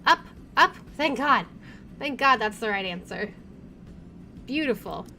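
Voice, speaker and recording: Female let's play host: slightly high voice, reading game narration with casual asides, light background noise. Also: lively intonation, a little slow, sharp tone. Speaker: gaming streamer.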